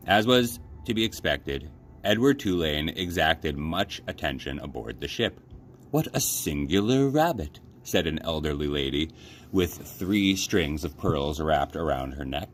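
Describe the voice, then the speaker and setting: A man reading a children's story aloud as an expressive narrator, like a librarian at story time. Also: a bass voice, a little slow, a little hiss or hum.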